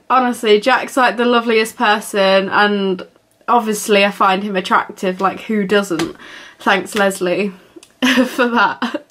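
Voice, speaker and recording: A woman's voice: mid-range voice, a bit bright. A young woman, chatting casually to camera in her bedroom, giggling and rambling with lots of fillers. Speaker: vlogger. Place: small bedroom indoors.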